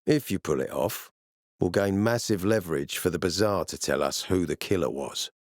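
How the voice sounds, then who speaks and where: Guy voicing a weary gruff military officer in a game, deep-ish voice, measured, authoritative, really dry recording. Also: no room sound, natural voice. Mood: commanding, measured. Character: gruff, weary military officer, commanding, later a regretful father. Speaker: game character voice actor. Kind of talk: video game voice lines.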